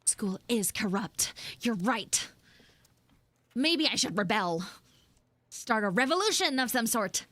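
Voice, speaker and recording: A woman voicing a bratty, dramatic tsundere anime girl in a live improvised audio roleplay, theatrical and whiny, with drawn-out words. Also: a little high, big pitch swings, pushed and bright, no echo, exaggerated voice. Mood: rebellious, dramatic. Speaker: streamer, voice actor.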